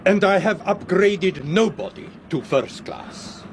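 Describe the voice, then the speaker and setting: A man's voice: high-pitched, animated, slow, exaggerated voice. An actor playing a courteous but dismissive male ticket collector in a radio drama, speaking formally. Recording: noisy train ambience behind voice.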